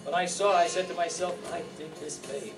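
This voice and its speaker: An older man plays a wisecracking, world-weary radio gumshoe with a high-pitched voice. His delivery is slangy and wry, in 1950s noir style. He is heard off a distant room mic, with music in the recording. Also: steady tone, brisk, natural voice. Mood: wry narrating. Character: wisecracking hard-boiled male private eye, world-weary. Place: staged reading in a community hall. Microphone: stand microphone, heard from a far camera.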